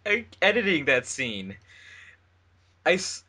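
A man's voice: on the high side, big pitch swings, takes it slowly, sharp tone. A man co-hosting a casual commentary track as an editor and voice actor.

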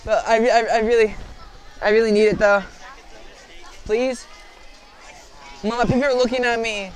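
A teenage boy staging loud prank phone calls in a public space. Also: sits high, steady tone, moderate pace. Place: school lunch area and gymnasium. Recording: noisy echoing public space, crowd chatter.

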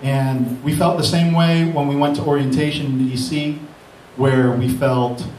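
Booming man thanking a room full of people. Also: steady tone, a little slow, a little mellow, a little hiss or hum. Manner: grateful, rambling, self-deprecating. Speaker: beat producer. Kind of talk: speech at a live event on a mic. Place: event hall with an audience. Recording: echoey hall mic from far off.

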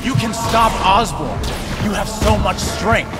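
An actor playing a furious, menacing supervillain in a video game, grandiose and accusing, shouting theatrically. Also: male voice, high-pitched, measured pace, over loud music. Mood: accusing fury. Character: furious menacing supervillain tempter, commanding shadow army. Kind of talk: dramatic video game acting.

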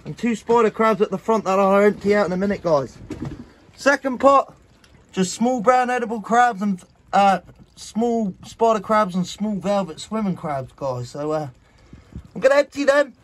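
A man's voice: high-pitched, animated, normal speed. A man, a fishing vlogger, chatting casually and matily to his viewers in slangy everyday speech, recorded outdoors on a small boat. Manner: chatty, matey, slangy, often addressing viewers as guys. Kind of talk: casual vlog commentary to viewers. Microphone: built-in camera or phone mic, few feet away. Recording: outdoor action camera and phone, noisy. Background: faint boat and outdoor noise.

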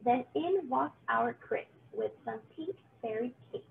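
A woman reading a children's storybook aloud in an expressive way, recorded on a computer's webcam microphone. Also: a little high, a little slow, vocal fry. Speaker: storybook reader, teacher. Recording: thin phone-like audio, faint noise.

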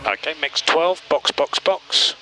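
A man's voice: on the high side, animated, takes it slowly. A man working as a race engineer, giving terse instructions over team radio. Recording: noisy Formula One pit-to-car team radio. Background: loud engine and pit lane noise.

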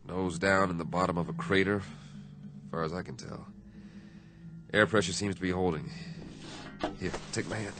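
A man's voice: low voice, fairly even intonation, normal speed, pauses often, background noise, close to an everyday voice. An actor in his thirties playing an anxious, cautious male astronaut in a radio drama. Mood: shaken, relieved. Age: 30s.

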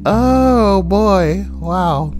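Female online narrator, very animated pitch, foul-mouthed comic asides, guitar music underneath. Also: drawn-out words. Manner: crude, sweary, joking asides. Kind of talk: reading forum posts aloud with crude comic commentary.

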